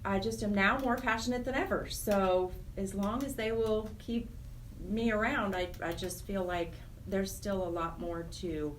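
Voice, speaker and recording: Middle-aged American woman, medium pitch, earnest interview guest, reflective, a bit off-mic, faint noise. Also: a little slow, recorded from a distance, rough recording. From Wyoming, United States. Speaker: interview guest, charity program director. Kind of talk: personal, conversational radio interview.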